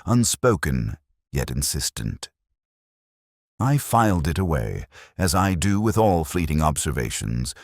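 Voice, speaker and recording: A man with an extremely low voice reads a detective mystery in a formal, reflective way. His measured narration rises and falls in lively intonation, recorded close and dry. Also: no echo. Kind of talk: literary first-person mystery narration.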